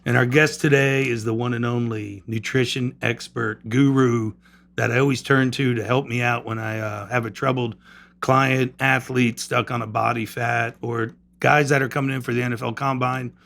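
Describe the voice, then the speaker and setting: A man's voice: medium pitch, flat delivery, moderate pace, gravelly, a bit bright. A middle-aged man hosting a podcast from a gym, chatting loosely and joking with lots of fillers. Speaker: podcast host and trainer. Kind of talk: casual podcast chat.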